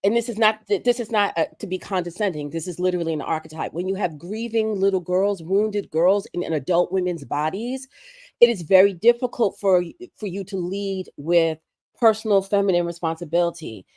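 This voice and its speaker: A hoarse woman, sick with a respiratory virus, making earnest, emphatic points as a guest on a livestream conversation. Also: on the low side, brisk, dark tone, no room sound, studio quality. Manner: earnest, emphatic, building points through repetition. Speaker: guest commentator.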